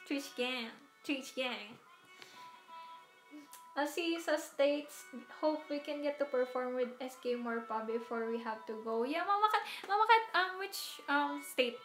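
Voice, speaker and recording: A young woman with a Filipino accent chats with her stream viewers from a small room, her voice sitting a little high. She laughs often and repeats words as she searches for them. The room adds a roomy echo, and faint music plays behind her. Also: fairly bright tone. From Philippines. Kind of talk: casual chat to live viewers.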